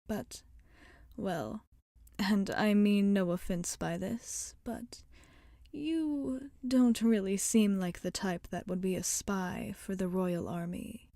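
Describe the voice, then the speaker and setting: A woman voicing a wry, rebellious witch shopkeeper in a soft-spoken ASMR roleplay, often slipping into whispers. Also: mid-range voice, slow, vocal fry, no room sound, studio quality. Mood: wry, confiding.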